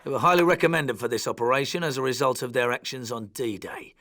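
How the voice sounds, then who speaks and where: An actor playing a commando officer in a war game, giving a brisk, matter-of-fact mission briefing. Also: male voice, medium pitch, a bit bright, a little hiss or hum, lightly acted. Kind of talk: game cutscene voice acting.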